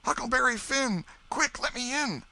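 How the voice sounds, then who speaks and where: A man voicing a scared vagabond boy who pleads in a high, breathless voice. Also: animated, normal speed, bright, forceful tone, full character voice. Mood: frantic.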